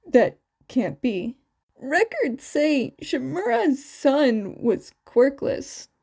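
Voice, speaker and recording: Casting: skeptical male investigator read by a woman, serious, slow, muted tone, audio story dialogue. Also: high-pitched, very animated, gravelly, darkish tone, exaggerated voice. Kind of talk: acted dialogue in fan fiction audio.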